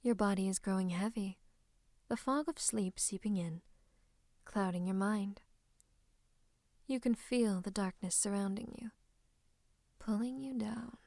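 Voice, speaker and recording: A woman's voice: medium pitch, measured pace, gravelly, long pauses, warm tone, dry recording, clean studio sound. A woman playing a scheming royal advisor and sorcerer in an ASMR roleplay, smug and mock-courteous, slipping into menacing whispers. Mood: gloating, hypnotic. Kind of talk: ASMR roleplay, theatrical monologue to the listener.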